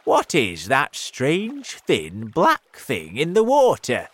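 Storybook centipede, voiced by a man, high-pitched, blustering, insistent, nervous, drawn-out. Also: huge pitch swings, fairly bright tone, exaggerated voice. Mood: startled. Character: blustering centipede, bold but secretly afraid.

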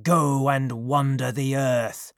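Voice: medium pitch, steady tone, slow pace, no room sound, studio quality. A narrator voicing a furious, commanding king of the gods in an audiobook myth. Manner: commanding, angry shouting. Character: furious thunder-voiced king of the gods, male.

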